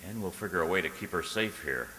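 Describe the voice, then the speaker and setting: A man's voice: deep voice, expressive, fast talker, pushed and bright, slight background noise. A man acting an anxious, lovelorn man in a radio drama, earnest and pleading, with hesitant, stammering speech. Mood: uneasy. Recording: long hall reverb, music underneath.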